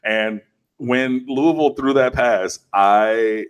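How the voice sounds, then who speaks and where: A man chatting casually as a college football podcast co-host, rambling and animated with lots of fillers. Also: medium pitch, takes it slowly, clean tone. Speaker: co-host, Miami fan. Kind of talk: casual sports podcast chat.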